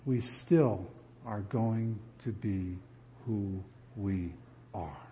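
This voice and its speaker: A man from New Jersey preaching a sermon as pastor, animated and often repeating words as he builds emphasis. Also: lowish voice, extremely slow, highs cut off, slight background noise.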